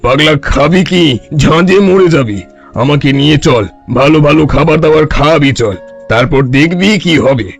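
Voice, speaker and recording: Cartoon holy man, played by a man: gravelly, slightly high, bossy and scolding, grand prophecies, music underneath. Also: moderate pace. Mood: mocking, demanding. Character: bossy, boastful wandering holy man demanding food and shelter. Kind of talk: Bengali cartoon story voice acting.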